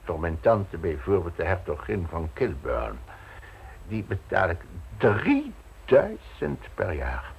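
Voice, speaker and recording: An actor playing a flustered, cornered aristocratic politician in a radio play, stammering, hesitant and repeating himself. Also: male voice, sits low, wildly swooping pitch, slow, vocal fry, husky, heavily acted. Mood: anxious, evasive. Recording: noisy old radio play recording.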